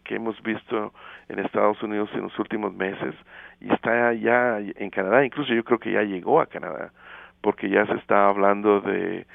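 A middle-aged man from Guatemala, speaking thoughtfully as an interview guest with many fillers and pauses, heard over a phone line. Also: lowish voice, creaky, no room sound. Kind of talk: casual interview. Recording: tinny phone line, radio broadcast.

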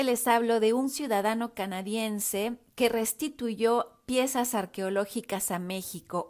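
A woman radio correspondent reading weekly news reports in a clear, friendly manner. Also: medium pitch.

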